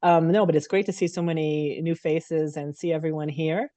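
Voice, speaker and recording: Woman with a cold, dark dry voice. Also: low voice, steady tone, moderate pace, no room sound. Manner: conversational, speaks straight to the audience. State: has a cold. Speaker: CEO of a nonprofit. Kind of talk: webinar welcome talk. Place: her apartment. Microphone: video call.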